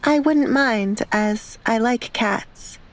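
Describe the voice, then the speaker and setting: A woman playing a reserved, polite young bride in an audio romance drama, soft-spoken and weary. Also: a little high, takes it slowly, pitched above the performer's own voice, low-quality recording. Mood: demure, matter-of-fact.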